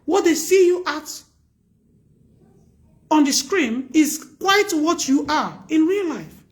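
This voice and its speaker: A woman with a loud voice hosting a live stream, giving earnest, preachy relationship advice and repeating her points for emphasis. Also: high voice, lively intonation, slow pace.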